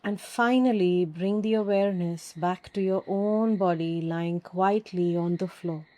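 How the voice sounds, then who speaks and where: A woman teaching yoga nidra, giving calm, soothing guided meditation instructions with long pauses. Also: lowish voice.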